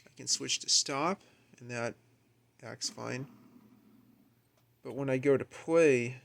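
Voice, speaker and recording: A man's voice: mid-range voice, slow, creaky. A man giving a casual, unscripted demonstration at home, musing and a little hesitant as he thinks aloud. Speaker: amateur video maker demonstrating equipment. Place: carpeted room at home, indoors.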